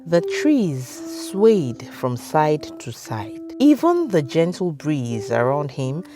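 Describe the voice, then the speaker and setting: A woman with a Nigerian accent narrating a scripted folktale as a storyteller, with dramatic, deliberate phrasing. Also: sits low, very animated, music in the background.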